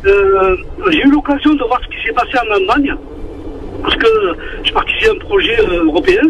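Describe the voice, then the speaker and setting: A man with a southern French accent calling into a radio show, speaking earnestly with many halting restarts over a muffled phone line. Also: very high-pitched, very animated, gravelly, background noise. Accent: southern French, Marseille-like. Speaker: caller. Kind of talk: phone-in opinion on air. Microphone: telephone.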